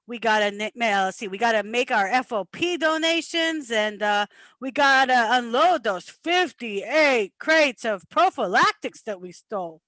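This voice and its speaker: Woman doing a rambly mobster sidekick guy, eager to please, high sharp voice, bouncy, radio comedy skit. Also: animated, unhurried, dry recording. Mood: grumbling. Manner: rambling, hesitant, placating wiseguy patter. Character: talkative, deferential male mobster sidekick. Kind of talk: amateur old-time radio comedy play.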